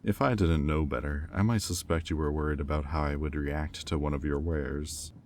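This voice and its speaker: A man playing a haughty, sardonic demon bidder in an audio roleplay, speaking with condescension and deliberate pauses. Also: a bass voice, fairly even intonation, darkish tone, a little hiss or hum. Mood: smug, intrigued.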